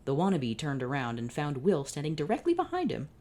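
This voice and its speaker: A woman's voice: sits low, huge pitch swings, a little fast, mellow. A woman hosting her channel, reading fan fiction aloud with exasperated, sardonic, swearing asides. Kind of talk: comedic dramatic reading with asides.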